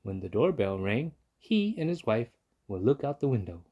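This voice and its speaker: A man's voice: mid-range voice, sing-song, moderate pace, mellow, breathy edge. A man narrating a children's story from a book, as a read-aloud. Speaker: storybook reader.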